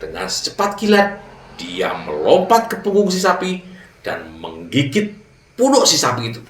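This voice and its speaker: A man narrating a fable for children, with dramatic pauses and direct address to young listeners. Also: a little high, wildly swooping pitch. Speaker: children's storyteller. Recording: roomy echo, distant mic.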